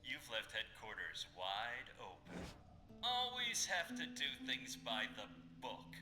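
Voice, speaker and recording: Slow, echoing gloating villain. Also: male voice, medium pitch, big pitch swings. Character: gloating, taunting male villain, sly and vengeful. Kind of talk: video game voice acting. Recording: echoey, loud music behind.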